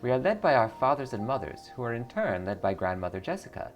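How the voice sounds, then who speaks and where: A man's voice: mid-range voice, very animated, fast talker, natural voice. A man voicing a perky young female cult receptionist, earnest and eager, in video game dialogue. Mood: earnest, welcoming. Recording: music behind the voice.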